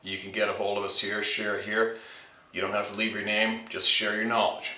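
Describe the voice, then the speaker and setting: A man's voice: fairly low pitch, monotone, fairly quick, sharp tone. A man hosting a channel, informally reading out and talking about scary stories sent in by listeners. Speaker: channel host reading out a viewer's submitted story. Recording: roomy echo, distant from mic.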